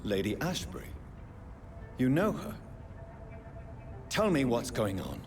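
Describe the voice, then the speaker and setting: An actor playing a grave, morally stern gentleman doctor with a formal, cutting manner in a video game. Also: mid-range voice, very animated, slow pace, big-room sound, faint music behind. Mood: urgent, questioning. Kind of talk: dramatic video game acting.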